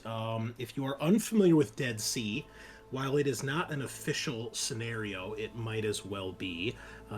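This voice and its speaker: A man hosting a show, giving a chatty, informal introduction in a casual style. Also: medium pitch. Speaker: podcast host.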